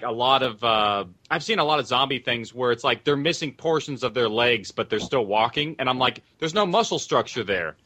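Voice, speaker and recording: Bright, informal man on a panel. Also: medium pitch. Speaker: audio drama producer, panel guest. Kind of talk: casual panel discussion.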